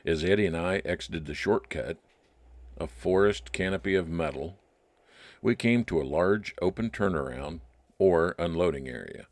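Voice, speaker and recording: A man playing a wry, nostalgic private detective, narrating his case deliberately in old time radio style. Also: low-pitched, dry recording. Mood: reflective. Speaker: narrator and lead actor.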